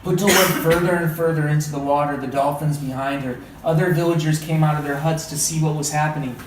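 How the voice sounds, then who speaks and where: A man reading a story aloud as a teacher, with dramatic, deliberate phrasing and questioning asides. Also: a little high, steady tone. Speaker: read-aloud teacher and trainer. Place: indoor room, training session. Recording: echoey room, distant mic, background noise.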